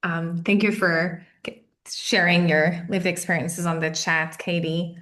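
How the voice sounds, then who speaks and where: Female host, Portuguese accent, slightly low voice, informal and pausing as she moderates an online session. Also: level tone, fairly bright tone. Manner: hesitant, pausing. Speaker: host, interviewer.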